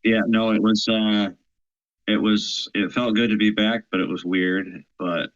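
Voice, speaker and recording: Hesitant low-voiced man, muffled audio. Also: flat delivery, fairly bright tone. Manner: hesitant, halting with fillers. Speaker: co-host. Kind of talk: casual chat.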